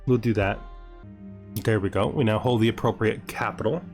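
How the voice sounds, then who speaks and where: A man's voice: lowish voice, steady tone, moderate pace, darkish tone. Man thinking aloud over a game, music behind. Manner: asks himself questions, muses over choices. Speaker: gameplay host. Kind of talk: casual gaming commentary, thinking aloud.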